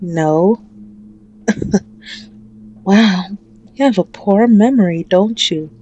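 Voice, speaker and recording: Woman acting a very slow, taunting female mafia boss. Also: dark tone, muffled recording, slight background noise, close to an everyday voice. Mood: smug, menacing. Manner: slow, taunting, drawn-out with frequent low chuckles. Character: sadistic female mafia boss, mocking, possessive, menacing captor. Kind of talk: ASMR roleplay acting.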